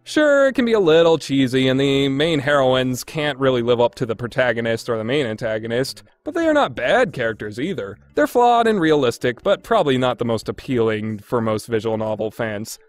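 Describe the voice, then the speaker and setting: A man's voice: mid-range voice, animated, a little fast, hardly any pauses, dry recording, faint music behind. A man narrating a scripted countdown review as a video game reviewer, informal and opinionated.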